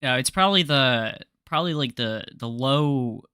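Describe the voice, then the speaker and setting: Young guy streaming, bright punchy voice, cusses and stammers, dry mic. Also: mid-range voice, lively intonation, normal speed, clean tone, dry recording. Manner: laughs a lot, swears casually, stammers on restarts. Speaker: commentary streamer. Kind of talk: casual reaction chat.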